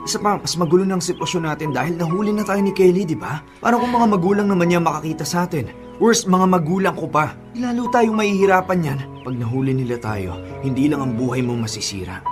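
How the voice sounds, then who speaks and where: A quick-spoken, torn lover in a radio love drama. His voice is fairly high, earnest and heavy with guilt, with music clearly behind it. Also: sing-song. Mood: pressured, exasperated. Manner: earnest, insistent. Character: torn, guilt-ridden engaged lover ending a secret affair. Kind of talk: Filipino radio love-story drama acting.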